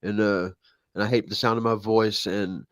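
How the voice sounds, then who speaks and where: A man telling stories in casual chat, self-deprecating and halting, with many restarts and fillers. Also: on the low side, fairly even intonation, smooth, no room sound. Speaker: co-host.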